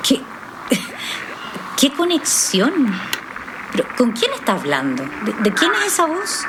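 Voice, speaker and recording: Serious Chilean psychologist, noisy ambience. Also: female voice, high-pitched, wildly swooping pitch, measured pace, exaggerated voice. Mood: startled. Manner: questioning, hesitant, serious. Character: skeptical female trauma psychologist, probing and incredulous. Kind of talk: naturalistic audio drama acting.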